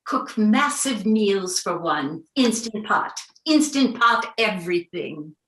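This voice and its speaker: A woman's voice: mid-range voice, expressive, takes it slowly, a bit bright. A woman acting a wry character coping alone, in a staged reading of a play, in a roomy distant recording.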